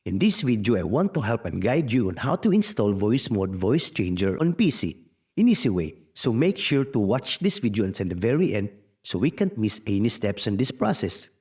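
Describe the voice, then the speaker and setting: A man narrating a casual step-by-step software tutorial in an instructional way, with non-native-sounding phrasing. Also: lowish voice, very animated, normal speed, breathy edge. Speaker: tutorial host.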